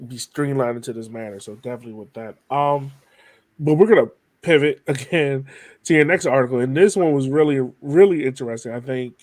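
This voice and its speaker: A man's voice: medium pitch, darkish tone, no echo. A man hosting a podcast in a casual, conversational interviewing style. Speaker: podcast host.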